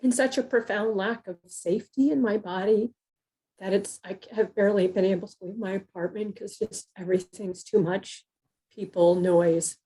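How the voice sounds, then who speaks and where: A woman caller with a mellow, warm voice asks her question in a halting way. She pauses often and fills the gaps with soft fillers. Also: medium pitch, fairly even intonation, rough recording. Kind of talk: live group call question.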